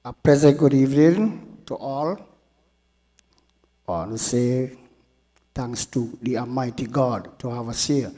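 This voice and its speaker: Man, measured acceptance speech, slow delivery. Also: medium pitch, mellow. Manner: formal greeting, thanks fans. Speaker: award recipient.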